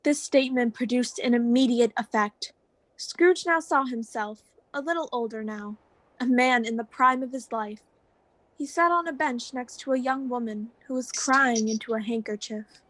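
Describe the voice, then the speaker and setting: A woman's voice: on the high side, normal speed, a bit bright, no echo, lightly acted, poor audio. A teenage girl giving scripted storybook narration for a radio play. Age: teens.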